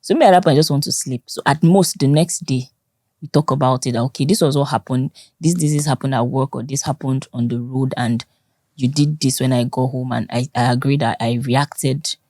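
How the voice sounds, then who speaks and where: A young Nigerian woman with a Nigerian accent and a strikingly low, dark voice. She talks loudly and expressively as an interview guest. The sound is dry and close. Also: brisk, no echo. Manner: loud, expressive, laughs often. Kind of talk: conversational interview.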